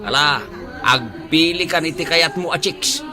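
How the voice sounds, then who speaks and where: A man's voice: on the high side, measured pace, close to an everyday voice. A man acting a merry, chummy village birthday host in an Ilocano comedy drama, with an Ilocano accent. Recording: party crowd noise behind.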